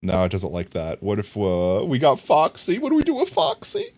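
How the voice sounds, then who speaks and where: A man talks his live viewers through a crafting game, his voice bouncing across a wide range. He thinks out loud and jumps into sudden high yelps when a result surprises him. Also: huge pitch swings, normal speed, vocal fry. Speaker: streamer. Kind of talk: live game stream commentary.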